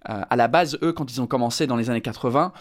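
A man's voice: medium pitch, fast talker. A man in his thirties from England, a stand-up comedian speaking French with an English accent, answering interview questions with many fillers and restarts.

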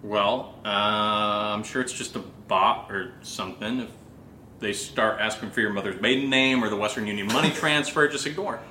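A man's voice: on the low side, normal speed, sharp tone, roomy sound, slight background noise. A man acting a brash, upbeat, sweary young roommate in a naturalistic audio drama, breezy and cajoling. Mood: offhand.

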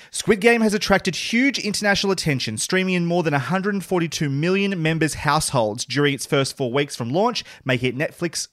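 A man's voice: fairly high pitch, fairly bright tone, no echo, studio quality. A man co-hosting a podcast in casual chat, swearing often and self-deprecating. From Australia. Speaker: podcast co-host.

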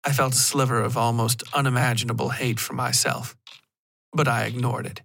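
A man with a middle-pitched voice narrates dark fiction for a podcast. He reads scripted first-person prose as a storyteller. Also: flat delivery, normal speed, creaky.

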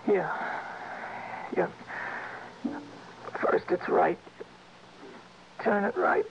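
A dying prisoner in old radio play, croaky, out of breath, stumbling on words, desperate, dull lofi sound. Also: male voice, sits high, fairly even intonation, exaggerated voice. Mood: gasping, desperate. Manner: halting, stammering, repeating words. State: out of breath, gasping and faltering. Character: dying male prisoner, a guilt-ridden safe robber, desperate. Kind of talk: old radio drama acting. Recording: muffled vintage radio recording, faint hiss.

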